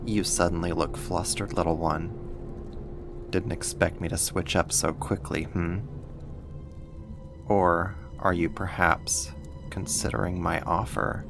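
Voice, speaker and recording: A man's voice: low-pitched, level tone, creaky, over music. A man playing a teasing, possessive, menacing villain kidnapper in an ASMR roleplay, drawing out his taunts with sighs. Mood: flirtatious, taunting. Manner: slow, drawn-out teasing with sighs.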